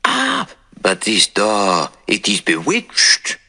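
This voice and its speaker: A man voicing a fussy, precise foreign detective who speaks slowly and deliberately. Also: mid-range voice, huge pitch swings, vocal fry, pushed and bright, pitched above the performer's own voice, full character voice. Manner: slow, deliberate, clipped.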